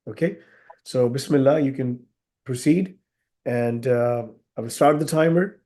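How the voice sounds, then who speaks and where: A man in his thirties speaks over a video call with a husky, slightly sick voice, clearing his throat often. His tone is dark and mellow, and he talks in a measured, hesitant way full of fillers, like an examiner explaining the rules of an exam. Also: medium pitch. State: under the weather, husky voice. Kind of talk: formal exam briefing.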